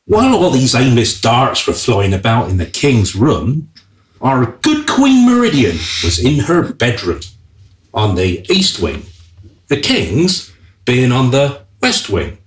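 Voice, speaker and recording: An actor playing the wry narrator of a comic royal radio play, theatrical and deliberate, in an echoing recording. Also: male voice, mid-range voice, huge pitch swings. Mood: wry, scene-setting. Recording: roomy, echoing sound.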